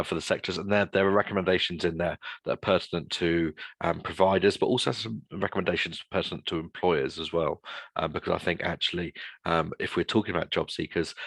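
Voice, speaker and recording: A man speaking unscripted as a guest in a webinar discussion, halting and often rephrasing mid-sentence. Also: sits low, creaky, fairly bright tone, husky, no echo. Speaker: guest speaker presenting a survey report.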